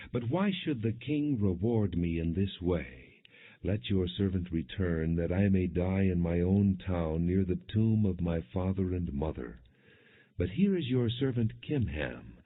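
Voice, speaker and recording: A man's voice: low-pitched, husky, heavily acted. A man reading the words of a humble, weary, deferential man of eighty in a scripture audiobook. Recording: dull, muffled lo-fi audio.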